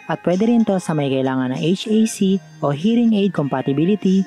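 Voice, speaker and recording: Filipino man, tech reviewer, slightly higher-pitched, mellow tone, conversational scripted voice-over, faint music behind. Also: animated, a little fast.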